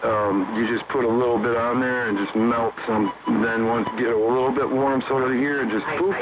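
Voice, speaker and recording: A man's voice: mid-range voice, steady tone, fairly quick. Through heavy radio static comes an older American man chatting on CB. His voice is squeezed into a thin, narrow band. He rambles casually in a folksy, blunt, salty manner. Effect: CB single-sideband radio. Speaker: amateur CB radio operator.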